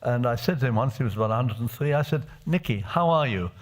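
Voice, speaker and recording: Older man recalling his childhood, halting. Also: mid-range voice, expressive. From Czechoslovakia. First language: Czech. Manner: reflective, halting with many restarts. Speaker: parliamentarian. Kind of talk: personal testimony speech. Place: assembly chamber.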